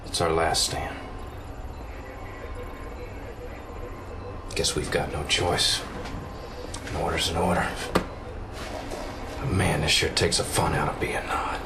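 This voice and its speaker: An actor playing a cynical but loyal military officer in a video game cutscene, with a colloquial, indignant way of talking. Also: male voice, extremely low pitch, little pitch movement, a little slow, long pauses, very noisy. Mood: resigned.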